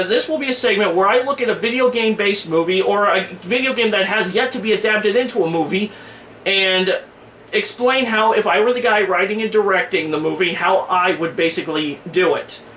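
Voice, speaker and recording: Male vlogger, high-pitched and forceful, blunt slangy reviewer rant, roomy home-made sound. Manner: informal, griping, blunt slangy delivery. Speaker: online movie and game reviewer, host. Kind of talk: casual opinion rant to camera. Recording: echoey room with faint background noise.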